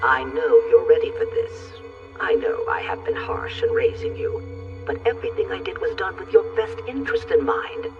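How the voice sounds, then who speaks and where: A man playing a cold, controlling grandparent who speaks formally and with calculation in an animated drama. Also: high-pitched, normal speed, far from the mic, lo-fi sound, faint music behind. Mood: coaxing, manipulative. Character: stern, manipulative old grandparent, cold and controlling villain.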